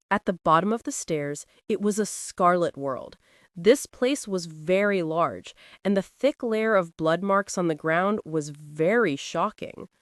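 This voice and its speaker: A woman's voice: mid-range voice, no echo, studio quality. A woman reading a fantasy novel aloud as an audiobook narrator, with intense, dramatic storytelling.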